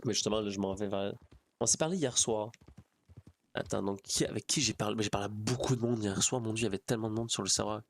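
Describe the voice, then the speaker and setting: Creaky, low-voiced Quebec French man. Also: fast talker. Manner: rambling, repeats phrases. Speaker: streamer. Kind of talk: casual chat with livestream viewers.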